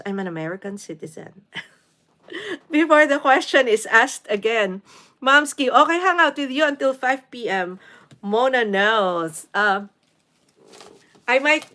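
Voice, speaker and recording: A woman's voice: mid-range voice, pushed and bright. A woman from the Philippines in her forties hosting a casual live stream, chatting with viewers, greeting them by name and using many fillers. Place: home in New York City.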